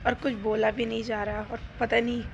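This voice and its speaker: Young woman vlogging, voice all hoarse, kinda high, lots of noise around. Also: little pitch movement, fairly quick. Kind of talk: casual vlog chat. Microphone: phone held at arm's length.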